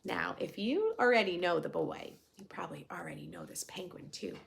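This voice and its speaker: A woman reads a picture book aloud for preschoolers. Her delivery is lively and widely varied in pitch, with some creak in the voice. She moves between expressive storytelling and chatty questions to the children. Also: moderate pace. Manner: expressive, questioning asides to young listeners. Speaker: children's story reader and host.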